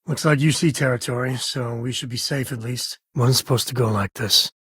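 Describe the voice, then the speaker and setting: An actor playing a rugged frontier space-cowboy companion in a video game, a wry, protective father with a husky American voice and a folksy, earnest way of talking. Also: mid-range voice, fairly even intonation, normal speed, fairly bright tone, no echo, clean studio sound. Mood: earnest, measured. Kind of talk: video game character voice acting.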